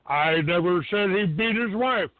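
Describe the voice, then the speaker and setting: A man with a distinctly high voice hosts a call-in radio show. He is blunt and combative, quick to brush callers off. The sound is dry and narrow, like a telephone. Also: expressive, no room sound. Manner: blunt, combative, dismissive, swearing. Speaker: talk show host taking calls. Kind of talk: live internet radio call-in talk. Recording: narrow phone-like low-fidelity internet radio sound.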